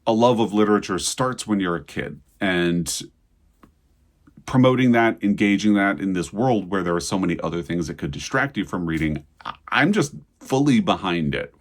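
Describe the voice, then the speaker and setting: A man's voice: sits low, animated, normal speed. A man hosting a video in a casual, chatty and enthusiastic way, commenting as he talks to the viewer. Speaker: host of a book news video channel.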